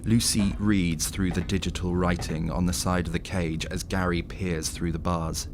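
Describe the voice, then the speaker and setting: A man narrating a scripted horror story in a dramatic, deliberate storytelling manner. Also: low-pitched, flat delivery. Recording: clearly noisy background ambience.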